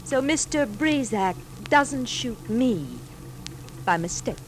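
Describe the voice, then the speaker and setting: A woman's voice: fairly high pitch, animated, slow pace. An actress playing a scheming, ruthless woman who coyly feigns innocence, in a theatrical 1950s radio drama style. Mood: feigned innocence. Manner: theatrical, coy, calculating. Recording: noisy 1950s radio broadcast recording.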